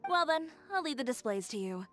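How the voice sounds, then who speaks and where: Brisk, composed boutique designer for a game: highish voice, frank, music behind. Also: female voice, sing-song, fairly bright tone. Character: Chiori, poised, brisk young female fashion designer.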